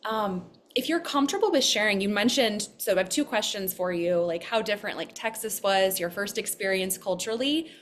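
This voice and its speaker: A woman's voice: mid-range voice, lively intonation, a little fast, few pauses. Casual woman podcast host asking questions. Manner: informal, peppers questions with "like" and "kind of". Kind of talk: casual conversational interviewing.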